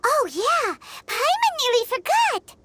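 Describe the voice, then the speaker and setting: A woman voicing a tiny, childlike, chatty fairy companion in a video game, excitable and blunt. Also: slow pace, slight background noise, full character voice. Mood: chatty, curious. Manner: squeaky, pleading, third-person childlike talk. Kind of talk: video game character acting.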